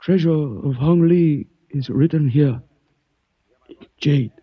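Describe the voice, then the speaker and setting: A man's voice: fairly high pitch, takes it slowly, slightly rough, no echo. An actor playing a courteous, solemn and resolute Chinese man in a 1940s radio drama, with formal, ceremonious speech. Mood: weak, dying, solemn. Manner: formal, ceremonious, proverb-quoting phrasing. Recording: muffled old-time radio recording.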